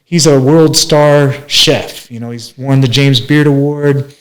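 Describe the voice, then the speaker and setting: A man's voice: medium pitch, fairly even intonation, slow pace, low-quality recording. A man in his forties from Minneapolis, telling his life story as an interview guest in a rambling way, with many fillers and restarts. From Minneapolis, USA. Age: 40s. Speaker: guest, master barber.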